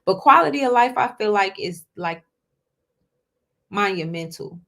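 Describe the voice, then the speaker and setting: A woman's voice: mid-range voice, lively intonation, a little slow, fairly bright tone. A woman in her twenties hosting her own show, talking casually to viewers, often repeating herself and calling them y'all. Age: 20s. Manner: chatty, repeats words, addresses audience as y'all, asks okay?. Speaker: host. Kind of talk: casual solo talk to viewers.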